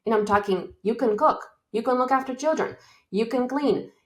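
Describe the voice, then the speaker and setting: A woman's voice: on the high side, moderate pace. A woman in her forties from Romania, speaking as an interview guest in an enthusiastic, encouraging way. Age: 40s. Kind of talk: motivational interview talk.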